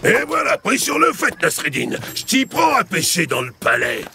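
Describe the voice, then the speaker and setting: An officious palace guard. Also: male voice, sits high, wildly swooping pitch, moderate pace, gravelly, noisy background, exaggerated voice. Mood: accusing. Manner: accusing, scolding. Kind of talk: cartoon dubbing. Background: background music.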